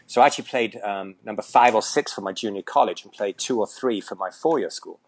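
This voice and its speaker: A man's voice: fairly low pitch, fairly quick, a little hiss or hum. A Welsh man, around his forties, chatting casually as an interview guest, joking and rambling with lots of fillers and restarts. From Wales. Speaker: tennis coach, guest.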